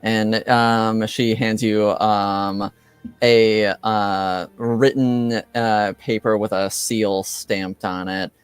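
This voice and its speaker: A man narrating a tabletop game as game master, improvising and pausing often mid-sentence. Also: lowish voice, flat delivery, clear, resonant tone, no room sound, soft background music.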